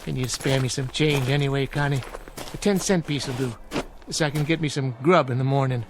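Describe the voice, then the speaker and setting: An American actor playing a wheedling, folksy small-town drifter in an old television western. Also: male voice, mid-range voice, fairly quick, noisy background, natural voice. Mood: sly, wheedling. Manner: folksy, needling, insinuating. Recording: vintage TV soundtrack with music underneath.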